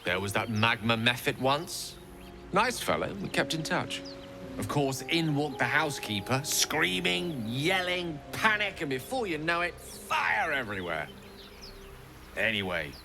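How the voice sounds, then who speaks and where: An actor voicing a well-spoken middle-aged wizard in a video game, wistful and wry as a rambling storyteller. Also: male voice, mid-range voice. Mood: animated, amused, then relieved. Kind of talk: video game dialogue acting.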